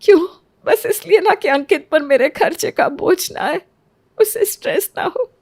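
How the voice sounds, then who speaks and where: A woman's voice: very high-pitched, lively intonation, moderate pace, a little mellow, pitched above the performer's own voice. A woman voicing a devoted, emotional elderly mother and grandmother in a cartoon story, earnest and pleading. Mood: hurt, pleading. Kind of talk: Hindi cartoon story acting.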